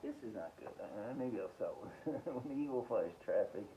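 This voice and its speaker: A man hosting a casual, rambling music collection review, listing titles haltingly and giving blunt, short verdicts. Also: medium pitch, animated, background noise. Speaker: host of a music collecting podcast.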